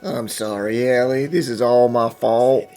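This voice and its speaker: A man playing a hardworking, softhearted middle-aged father and company boss, speaking earnestly in an animated drama fan dub. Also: medium pitch, unhurried, faint music behind, close to an everyday voice. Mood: moved, apologetic.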